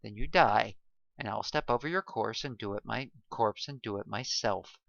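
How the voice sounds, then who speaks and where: Lady doing a heartless captain, low voice, clipped orders, dull sound. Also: normal speed, creaky, fairly bright tone, dry recording. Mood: cold. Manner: curt, commanding, unconcerned. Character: cold apathetic male ship captain.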